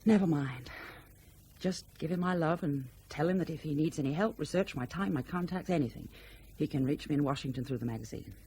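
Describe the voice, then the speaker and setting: An actress playing a proud, guarded professional woman, brisk and earnest, in a radio drama. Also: deep voice, warm tone, a little hiss or hum. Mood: defensive, earnest.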